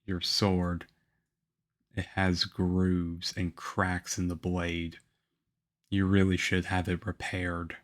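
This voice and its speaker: A man playing a stern, aloof young swordsman mentor in a roleplay for the listener, with a harsh, measured, deadpan manner. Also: sits low. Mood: reserved, grudging approval. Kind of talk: ASMR roleplay acting addressed to the listener.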